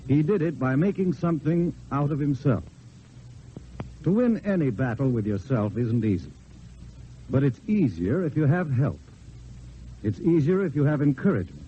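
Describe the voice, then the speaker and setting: A man giving an earnest, measured, scripted inspirational address on vintage radio. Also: medium pitch. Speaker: spokesman delivering a church message. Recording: dull vintage radio recording, faint hiss.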